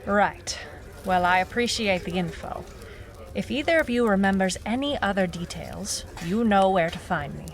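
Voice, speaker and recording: A woman playing a wry, dogged private eye in a noir audio drama, speaking in clipped, deadpan phrases. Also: medium pitch, normal speed, close to an everyday voice. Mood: probing.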